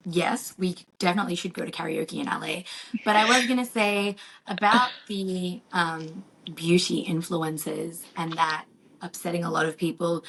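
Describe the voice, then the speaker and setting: Young woman, slightly croaky, enthusiastic. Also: lowish voice, poor audio. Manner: enthusiastic, quick to agree. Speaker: podcast co-host, F1 content creator. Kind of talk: casual chat.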